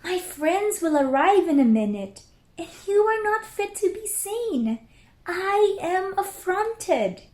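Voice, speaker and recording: A woman's voice: extremely high pitch, big pitch swings, takes it slowly, a little mellow, pitched above the performer's own voice, full character voice. A woman voicing a prim, exasperated mother cat from a storybook, stern and proper with her kittens. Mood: affronted. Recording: roomy echo.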